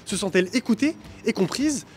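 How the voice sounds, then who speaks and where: A man's voice: sits high, animated, a little fast, slight background noise. A man reading a scripted introduction as a presenter. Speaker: presenter introducing street interviews. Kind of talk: scripted narration. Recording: faint music behind the voice. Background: soft background music.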